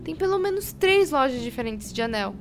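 Stressed impulsive young brazilian woman, high voice, rambles, audio drama w music under it. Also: big pitch swings, brisk. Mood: self-reproaching. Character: frazzled, impulsive young woman fretting over money. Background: music behind the voice.

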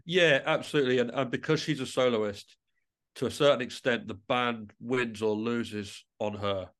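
A man's voice: medium pitch, steady tone, moderate pace, a bit bright. A middle-aged man speaking as an interview guest in a conversational way, thoughtfully and with hesitant rephrasing. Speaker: guest musician, composer and writer.

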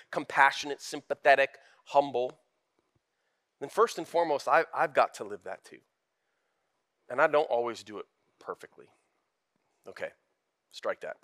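A man's voice: medium pitch, fairly bright tone. A man preaching a sermon as pastor, candid and conversational, building to emphatic rises.

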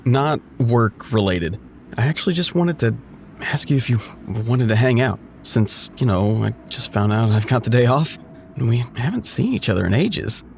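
A man's voice: mid-range voice, sing-song, moderate pace, creaky, slight background noise. An actor playing an awkward, scheming man in an audio drama, talking to an old friend in a hesitant, stammering, over-eager way. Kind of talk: naturalistic audio drama dialogue.

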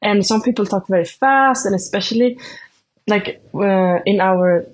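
Young Swedish woman, halting casual answers. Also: medium pitch. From Sweden. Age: 20s. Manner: hesitant, many fillers like "like" and "I don't know". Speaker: athlete guest.

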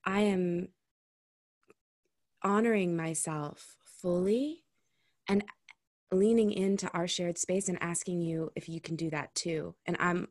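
A woman's voice: lowish voice, steady tone, slow pace, pauses often, a little mellow, dry recording, clean studio sound. A woman speaking as an intimacy coach guest in a casual podcast conversation, candid and reflective, swearing now and then.

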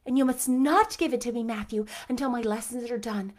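An eager, imaginative young girl, put on by a woman reading a storybook aloud, talking quickly and earnestly in a high, bright voice. She is chatty and fond of grand words. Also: close to an everyday voice. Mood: pleading. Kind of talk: audiobook character voice.

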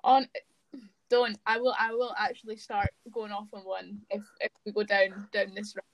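A woman's voice: mid-range voice, flat delivery, moderate pace, dry recording, rough recording. A woman making wry, sarcastic remarks during a casual podcast chat. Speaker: podcast guest. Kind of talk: casual chat among friends.

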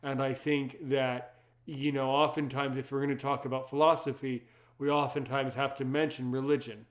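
A man's voice: level tone, normal speed. Earnest French-speaking man, thirties. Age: 30s. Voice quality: deep. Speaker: conversation partner. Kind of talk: casual chat.

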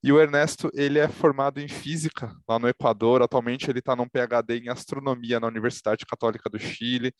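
A man hosting a podcast interview, his voice sitting at an ordinary middle pitch. He talks casually and chattily, leaning on little fillers as he introduces guests and asks questions. The sound is close and dry, with no room echo. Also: fairly even intonation, fast talker, hardly any pauses. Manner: chatty and friendly, with frequent fillers. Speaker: podcast host.

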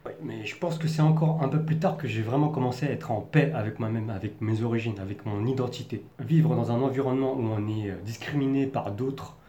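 Young man from the Paris region, vlogger, earnest and searching for words, warm dark tone, roomy sound. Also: medium pitch, fairly quick. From France, Paris region. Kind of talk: personal storytelling to camera. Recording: echoey room.

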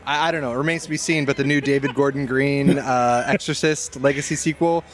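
A man's voice: medium pitch, fairly even intonation, brisk. A man with a mid-range voice hosts a casual chat. He talks in a slangy, playful way and gives his opinions freely. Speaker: show host. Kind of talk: casual chat about horror films.

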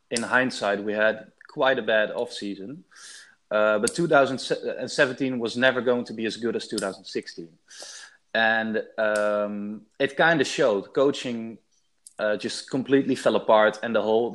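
A man's voice: on the low side, moderate pace, a bit bright. A man from the Netherlands with a Dutch accent, a guest in a casual interview, hesitant and restarting his sentences often. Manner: hesitant, many restarts and repeated words. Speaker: guest, sports fan.

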